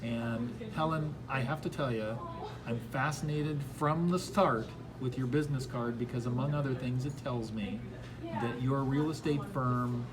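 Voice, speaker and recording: A man's voice: mid-range voice, normal speed, low-quality recording. A man hosting a conversational radio business interview, affirming and rephrasing as he goes. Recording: clear crowd chatter behind the voice. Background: crowd chatter.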